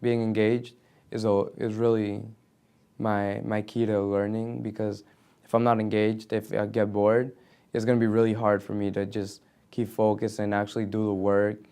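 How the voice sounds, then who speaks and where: A man's voice: fairly low pitch, level tone, moderate pace, dark tone, clear, resonant tone. A young man, a student, earnestly explaining what keeps him engaged. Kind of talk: interview answer.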